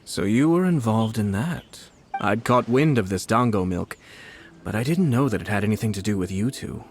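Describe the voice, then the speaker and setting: A man's voice: lowish voice, animated, normal speed, darkish tone. A man playing a composed, refined young lord in a video game, speaking politely and with formal measure. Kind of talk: video game character dialogue.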